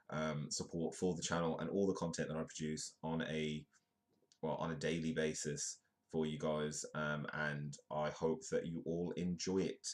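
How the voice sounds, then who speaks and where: A congested man with a British accent, hosting a comedic live news show, chatting and joking as he reads the news aloud. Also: very deep voice. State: congested, stuffy nose. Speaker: host.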